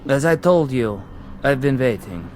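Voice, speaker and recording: An actor playing a calm, accusing former prisoner in a vintage television drama, measured and insinuating, with mocking politeness. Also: male voice, medium pitch, faint music behind, lightly acted. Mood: quiet menace.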